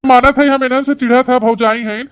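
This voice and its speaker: A woman's voice: sits high, fairly even intonation, a little fast, close to an everyday voice. A woman voicing a bossy, stubborn village man in a comic family cartoon, blustering and argumentative, with an Awadhi accent. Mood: arguing. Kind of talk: rustic comedy cartoon dialogue.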